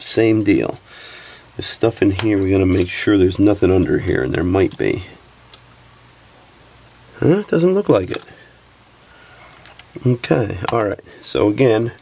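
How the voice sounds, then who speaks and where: A man's voice: fairly low pitch, vocal fry, slight background noise. A sniffly man talking through a camera repair step by step as he works at a workbench. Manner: relaxed, talks while working with hands. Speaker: repair technician. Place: workbench, indoors.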